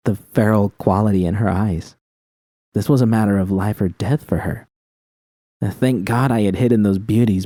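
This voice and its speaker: A man's voice: on the low side, gravelly, warm tone, no room sound, studio quality. A man reading a dramatic first-person story as scripted audiobook narration. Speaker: audiobook narrator of fan fiction.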